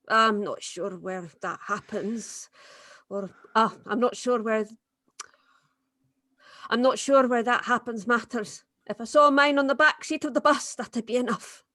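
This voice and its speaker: A woman acting a tough, wry accomplice, higher-pitched. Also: a bit bright, no room sound, studio quality. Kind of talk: dramatic script read-through.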